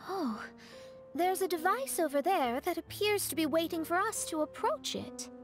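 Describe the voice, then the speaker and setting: A wry young female adventurer, high in pitch and very expressive. She sounds knowing, composed and teasing, in fantasy game style with light music behind. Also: moderate pace. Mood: surprised, curious. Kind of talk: video game voice acting.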